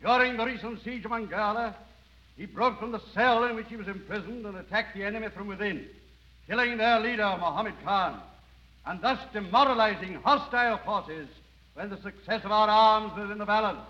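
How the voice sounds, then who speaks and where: Man playing a high-voiced, stiff military adjutant. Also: brisk, close to an everyday voice. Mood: formal. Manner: declamatory, parade-ground. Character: stiff, formal male military adjutant reading citations. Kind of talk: 1930s radio drama acting. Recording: dull 1930s radio broadcast recording.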